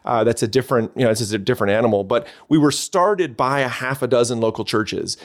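A middle-aged Canadian man talking quickly and brightly in a relaxed interview. His pitch sits in an ordinary middle range while he rattles through stories with lots of fillers and restarts. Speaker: podcast guest, church consultant. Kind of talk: casual interview chat.

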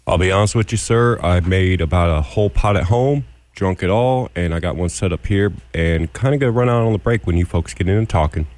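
A man co-hosting a radio show, announcing and bantering in a relaxed, folksy way. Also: low voice, a little fast, few pauses.

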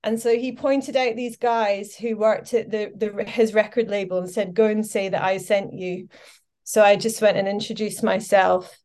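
A woman's voice: mid-range voice, fairly even intonation, normal speed, a little mellow. A Scottish woman in her forties, a podcast guest chatting casually and telling her story with lots of fillers. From Scotland. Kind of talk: casual interview chat.

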